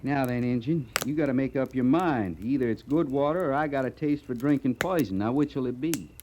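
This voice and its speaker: An actor playing a folksy, grumbling cavalry trooper with a drawling manner in an old-time radio western. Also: male voice, mid-range voice, brisk, lightly acted. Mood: grumbling, coaxing. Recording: hissy old radio drama recording.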